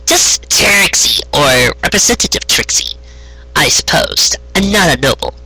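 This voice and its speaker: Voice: mid-range voice, animated, unhurried, pushed and bright, husky, no room sound, pitched above the performer's own voice, full character voice, rough recording. A storyteller voicing a proud, self-important young female unicorn official with a pompous, assertive manner. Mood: pleased.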